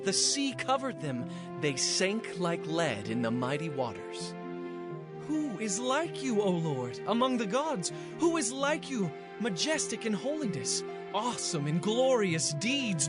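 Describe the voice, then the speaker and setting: An actor playing a fervent, triumphant Hebrew leader, reciting praise in declamatory poetic phrases for a scripture drama. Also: male voice, high voice.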